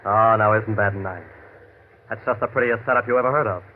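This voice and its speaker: An actor playing a bitter, hostile American country tough in an old radio mystery, sarcastic and slangy. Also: male voice, fairly low pitch, brisk, a little hiss or hum, lightly acted. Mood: bitter, sneering. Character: bitter hostile rural tough man with a gun. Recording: muffled, tinny old radio recording.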